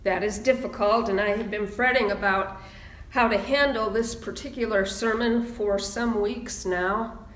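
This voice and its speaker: A woman minister speaking in a measured, deliberate sermon style, pausing for emphasis as she reads and preaches. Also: mid-range voice, fairly even intonation, fairly bright tone. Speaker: minister leading a church service. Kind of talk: sermon and slow, solemn readings. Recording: roomy, echoing sound.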